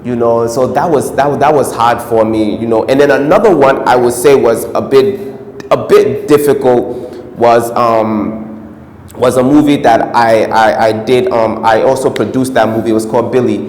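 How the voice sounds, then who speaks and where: A man with an American accent, an actor answering interview questions in a relaxed, rambling way with lots of fillers. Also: mid-range voice, moderate pace, echoey room, big-room sound. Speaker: film actor.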